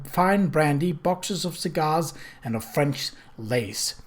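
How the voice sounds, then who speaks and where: A man narrates a story from a written text as an audiobook reader. His voice sits a little higher than most men's. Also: darkish tone.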